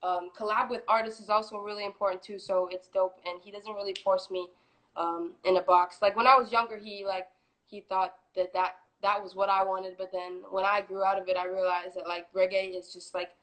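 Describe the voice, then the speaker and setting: Young female singer guest, twenties, easygoing and talkative, medium pitch. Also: a little fast, poor audio. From Florida, US. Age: 20s. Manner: relaxed, chatty, many fillers. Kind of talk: casual interview.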